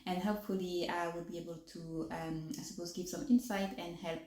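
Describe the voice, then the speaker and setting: A woman's voice: fairly low pitch, little pitch movement, a little fast, darkish tone. French woman vlogger in an echoey room. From France. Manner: articulation a little off from new braces; hesitant, many fillers and restarts. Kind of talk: casual chat to camera.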